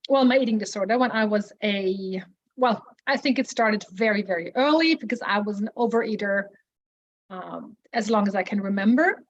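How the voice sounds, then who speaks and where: A woman, sharing her personal story hesitantly, with many restarts. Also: medium pitch. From Austria. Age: 40s. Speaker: support group member. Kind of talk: personal testimony. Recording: muffled lo-fi audio.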